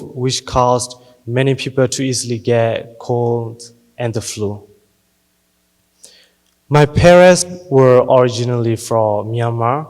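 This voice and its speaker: Young man giving a slow, echoing graduation speech. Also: medium pitch, a little mellow. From Thailand. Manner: slow, careful delivery, reading a prepared speech. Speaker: graduating student.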